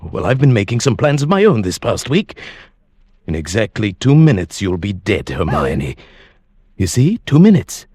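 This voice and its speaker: An actor playing a quietly scheming, murderous professor in a radio thriller, speaking in a measured and precise way. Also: male voice, on the low side, huge pitch swings, slightly rough, no room sound, clean studio sound, full character voice. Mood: coolly menacing. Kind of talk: 1940s radio thriller acting, narration and dialogue.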